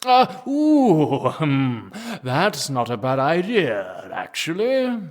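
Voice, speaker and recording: A man's voice: fairly high pitch, wildly swooping pitch, takes it slowly, full character voice. A man playing a pious, theatrical medieval church elder in a comic audio drama, speaking in archaic, declamatory phrases. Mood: sheepish.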